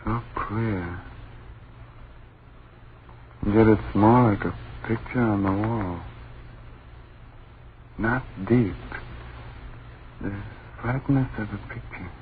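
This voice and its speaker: Frightened husband and father, very slow and halting, awestruck; radio drama, tinny recording with faint noise. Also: fairly low pitch. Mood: awed. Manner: halting, hushed short phrases. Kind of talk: old-time radio drama acting. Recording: thin, noisy old radio recording.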